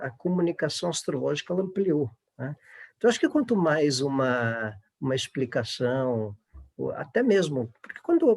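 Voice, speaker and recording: A middle-aged Brazilian man talks informally as a panel speaker. His voice sits a little high for a man and is rough with a clearly creaky grain. He explains discursively and keeps checking for agreement. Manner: discursive, often seeking agreement with tags.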